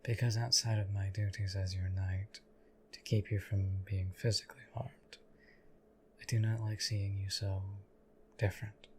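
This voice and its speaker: A stoic, devoted knight acted by a man speaks in a low, even monotone. His delivery is formal, measured and deadpan, as in an intimate audio drama addressed to his liege. Noticeable background noise sits behind the voice. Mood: earnest, deadpan. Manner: deadpan, formal, measured, archaic courtly phrasing. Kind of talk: scripted audio roleplay addressed to the listener.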